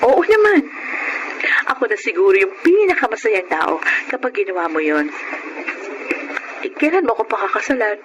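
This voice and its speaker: An actress playing a trusting, romantic young woman in a radio drama, with tender, drawn-out, emotive delivery. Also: mid-range voice, very animated, background noise, natural voice. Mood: sweet, hopeful. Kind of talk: radio drama acting with confessional narration. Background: prominent music.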